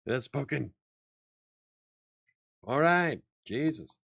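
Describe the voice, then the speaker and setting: A man hosting a casual after-hours chat show, rambling, laughing often and swearing now and then, with a slightly raspy voice. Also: mid-range voice, expressive, takes it slowly, fairly bright tone, no echo, studio quality. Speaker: host.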